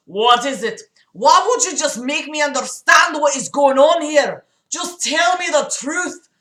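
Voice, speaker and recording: Woman doing a frantic, high-pitched tormented man. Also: lively intonation, measured pace, fairly bright tone. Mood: desperate, tearful. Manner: desperate, overwrought, pleading. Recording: echoey room.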